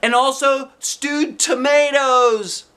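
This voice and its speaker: A man doing an excitable, squeaky little kindergarten girl. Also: slow, heavily acted. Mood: eager, chatty. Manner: loud hollering, childish phrasing. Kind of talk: children's storybook character voice.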